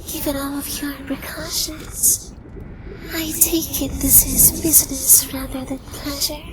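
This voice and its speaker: Man voicing a high, soft, slow demon succubus. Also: level tone, music in the background, poor audio. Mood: coolly wry. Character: beautiful male succubus demon, coy, soft, angelic. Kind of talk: ASMR roleplay.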